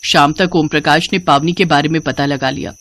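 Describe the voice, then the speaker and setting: A woman's voice: low-pitched, steady tone, a little fast, fairly bright tone. A woman reading a scripted story as its narrator.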